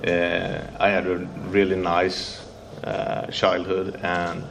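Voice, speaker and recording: Low-voiced Swedish man, nervous, halting non-native English, faint noise behind. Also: monotone, vocal fry. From Sweden. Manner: halting, searching for English words, many fillers and restarts. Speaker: speaker sharing recovery story. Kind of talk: recovery meeting share.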